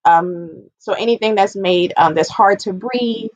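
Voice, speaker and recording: A woman speaks as a health educator walking listeners through a presentation. Her pitch is mid-range and the sound is dry, with very little room in it. She explains step by step, informally, and stresses key points by repeating them. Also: unhurried, no room sound, highs cut off. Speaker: public health educator giving a talk.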